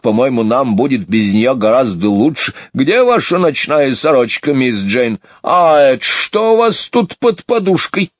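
A man's voice: mid-range voice, no room sound, studio quality, voice pushed up. A man voicing a gossipy, fussy, scatterbrained housekeeper woman in a storybook, gushing in a sing-song way. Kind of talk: audiobook character voice.

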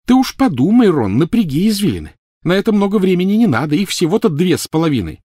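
A man voicing a furious, shrill teenage girl witch in an audiobook reading. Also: very animated, fast, dark tone, slightly rough, no room sound, studio quality, heavily acted. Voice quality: shrill, screaming.

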